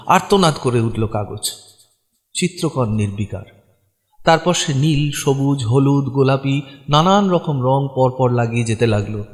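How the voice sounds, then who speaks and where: A man narrating short stories from a script as a storyteller, in a dramatic style. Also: medium pitch. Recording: long reverb, music behind.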